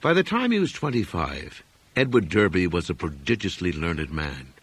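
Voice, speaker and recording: Husky low-voiced man narrating, widely swooping intonation. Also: sing-song, normal speed, no echo. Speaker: audiobook reader. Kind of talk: scripted literary narration of a horror story.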